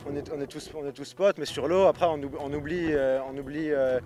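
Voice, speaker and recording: A man's voice: on the high side, steady tone, a little fast, mellow, slight background noise. A young man from France, a kitesurf athlete, answering interview questions casually with many fillers and restarts. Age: young adult. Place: outdoors at a beach resort.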